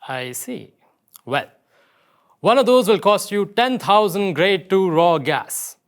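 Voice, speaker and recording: A man's voice: high-pitched, expressive, slow, smooth, exaggerated voice. A man at a table read playing a wary alien outpost merchant with a formal, suspicious, businesslike manner.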